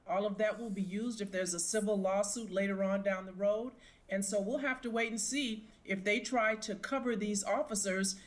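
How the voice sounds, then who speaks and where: A middle-aged woman, a retired police sergeant, giving emphatic, opinionated expert commentary in an interview. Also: mid-range voice.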